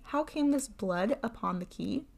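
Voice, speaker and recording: A woman's voice: on the high side, lively intonation, measured pace, vocal fry, darkish tone, exaggerated voice. A woman voicing a cruel, coldly commanding husband in a storybook tale, imperious and mocking. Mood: stern, demanding.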